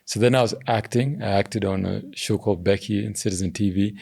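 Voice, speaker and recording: Deep, gravelly man with a mellow tone. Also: steady tone. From Zambia. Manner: measured, hedging with "I think" and "you know". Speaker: podcast guest, governance strategist. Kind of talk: thoughtful interview answers.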